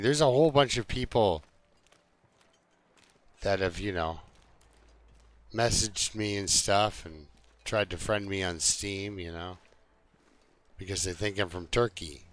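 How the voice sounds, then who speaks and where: A man's voice: fairly low pitch, measured pace, pauses often. A man live streaming a video game, chatting casually and jokingly to his viewers in an easygoing, rambling way.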